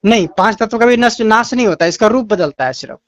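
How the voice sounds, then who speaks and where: A man's voice: high-pitched, lively intonation, fast talker, no room sound. A man explaining his view insistently in a religious debate on a phone call, repeating phrases to press his point. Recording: dull lo-fi call audio.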